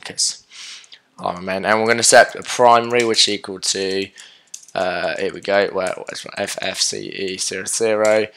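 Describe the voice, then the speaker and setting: Sick young man, low hoarse voice. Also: bright, forceful tone. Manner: casual and chatty, with many fillers and self-corrections. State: sick, sore throat, losing his voice. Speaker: coding tutorial host. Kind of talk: casual screen-recorded coding tutorial.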